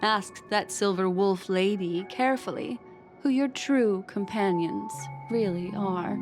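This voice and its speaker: Woman voicing a cool elegant game lady, sly and knowing, slow measured delivery, faint music behind. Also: mid-range voice, natural voice. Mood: cool, teasing. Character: composed, sly, elegant adult woman memory keeper. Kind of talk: video game English dub acting.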